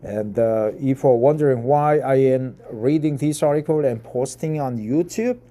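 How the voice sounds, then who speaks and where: A man in his fifties from Korea, with a Korean accent, reading an article aloud and commenting, halting with many fillers and repeated "right?"s. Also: mid-range voice, dark tone. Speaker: YouTube reader of articles.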